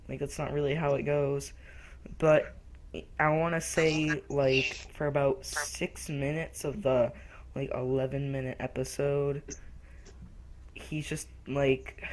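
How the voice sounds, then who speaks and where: A young man co-hosting a casual cartoon review who rambles and loudly acts out lines from the show. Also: fairly high pitch, low-quality recording. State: recently sick. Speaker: co-host reviewer.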